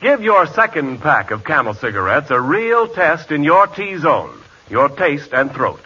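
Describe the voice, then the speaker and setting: A man's voice: fairly high pitch, very animated, measured pace, pushed and bright, breathy edge. A man announcing and selling on 1940s radio in an emphatic, polished manner. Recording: muffled 1940s radio recording.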